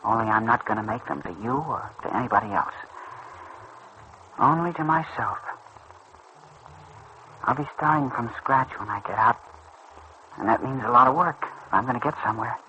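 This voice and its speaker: A muffled old radio recording carries a man acting an earnest, tender teenage boy from a soap serial. His voice is bright and forceful, with slangy, boyish phrasing and a proud streak. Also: medium pitch, moderate pace, pauses often, slight background noise, natural voice.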